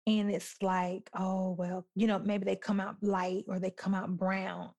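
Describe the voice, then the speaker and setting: A young adult woman talking candidly on a podcast, telling stories in an animated, emphatic way. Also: medium pitch, measured pace, gravelly.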